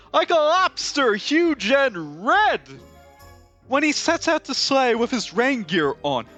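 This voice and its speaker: A man playing an excitable skeleton showman from a musical, high-voiced, grandiose and dramatic, moving between song and speech. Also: exaggerated voice. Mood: ominous, showy. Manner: grandiose, sweeping, theatrical delivery. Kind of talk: theatrical musical performance, half sung and half spoken.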